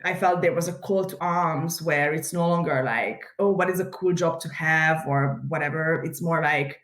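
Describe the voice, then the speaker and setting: A woman from Hungary, an animated podcast guest who laughs easily and fills her talk with "like" and "you know", speaking over a video call. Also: sits low, normal speed. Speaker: guest, community founder. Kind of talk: casual interview chat. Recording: echoey room on a video call.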